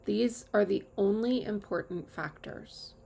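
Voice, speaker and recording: A woman guides a meditation at a very slow, unhurried pace. Her mid-pitched voice is heavily creaky, and she gives calm, measured instructions with long pauses. There is faint noise behind her. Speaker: yoga nidra teacher.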